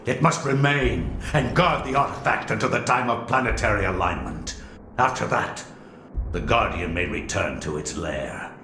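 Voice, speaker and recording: A cold, commanding cult leader gives orders in a measured tone. His voice sits low. The room echoes, and clear noise runs underneath. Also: lively intonation. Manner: stern, measured, authoritative. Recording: roomy echo with clear noise.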